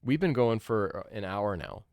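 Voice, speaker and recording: Male game streamer, thirties, deep voice, casual conversational commentary. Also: animated, moderate pace, darkish tone, dry recording, studio quality. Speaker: game streamer, podcast host.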